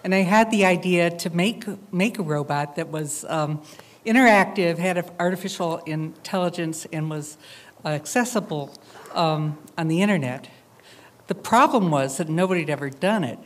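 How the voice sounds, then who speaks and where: A woman artist presenting her project in a public talk, speaking reflectively with frequent hesitant pauses. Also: on the low side.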